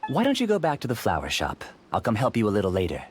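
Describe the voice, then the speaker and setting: An actor playing a polite, calculating, obsessed scholar in a video game, with a courteous, theatrical delivery. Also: male voice, fairly low pitch, wildly swooping pitch, fast talker, heavily acted. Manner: courteous, theatrical, smooth-talking.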